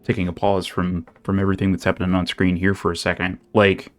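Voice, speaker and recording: A young man chats casually on his stream in a slightly low voice, circling through his thoughts with plenty of fillers and restarts. Faint game music plays behind him. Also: monotone, fast. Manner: rambling, many fillers and restarts. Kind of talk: casual chat while playing.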